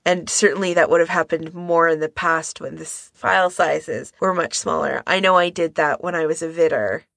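Gravelly-voiced woman in casual discussion. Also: deep voice, normal speed, a bit bright, no echo, clean studio sound. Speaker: podcast co-host.

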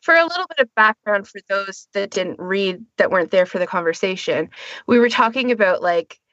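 A woman's voice: mid-range voice, animated, a little fast, fairly bright tone, no echo. A woman co-hosting a podcast, talking casually in a discussion. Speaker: podcast co-host. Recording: dull, lo-fi audio.

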